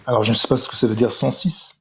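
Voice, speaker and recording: A man's voice: medium pitch, fairly even intonation, fast, a bit bright. A man in an online voice debate, insistent and argumentative, often restarting his sentences. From Algeria.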